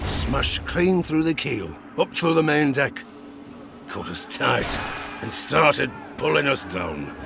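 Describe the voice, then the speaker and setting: Gravelly, theatrical old sailor. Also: male voice, mid-range voice, animated, takes it slowly, music in the background. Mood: grim, dramatic. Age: older. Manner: salty sailor's dialect, chuckling, theatrical asides. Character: grizzled old harpooner spinning a tavern sea tale, boastful, haunted. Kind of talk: dramatic audio drama storytelling.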